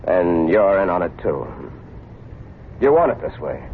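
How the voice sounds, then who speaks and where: Paranoid killer on the run, wide dramatic swings in pitch, agitated, melodramatic, noisy old radio thriller. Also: male voice, big pitch swings, a little slow, narrow, tinny audio, full character voice. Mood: pleading, accusing. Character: desperate, paranoid fugitive killer recounting his crimes. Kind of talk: 1940s radio suspense acting, first-person narration.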